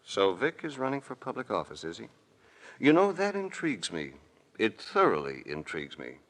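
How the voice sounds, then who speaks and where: A man's voice: on the low side, huge pitch swings, normal speed, a bit bright, heavily acted. An actor playing a suave, wry adventurer hero who narrates his own case in an old radio detective drama. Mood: coolly needling. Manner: smooth, wry, flirtatious, unflappable. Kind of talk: 1940s-50s radio detective drama acting and first-person narration.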